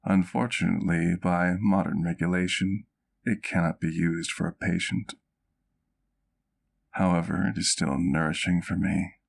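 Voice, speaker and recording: A man's voice: low-pitched, fairly even intonation, creaky, heavily acted. A man playing a courtly, doting vampire doctor in an ASMR roleplay, speaking intimately to the listener in formal, precise words. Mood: measured, curious. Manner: formal, precise, measured wording.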